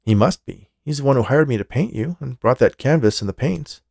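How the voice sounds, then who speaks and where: Man playing a puzzled young artist, raised pitch, gravelly, mellow, dry close sound. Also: animated, fairly quick, no room sound. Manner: startled and puzzled. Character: bewildered young male artist.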